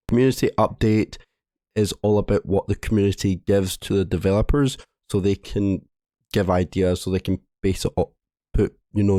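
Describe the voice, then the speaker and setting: A man hosting a gaming update video, hoarse from a cold, who rambles, apologises and often restarts his words. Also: sits low, dark tone, no room sound, clean studio sound. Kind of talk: casual commentary reading a game update.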